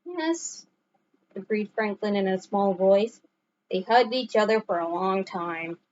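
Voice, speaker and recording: A woman narrating a children's story aloud in an expressive storytelling manner. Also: medium pitch, unhurried, highs cut off, slight background noise. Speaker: storybook reader.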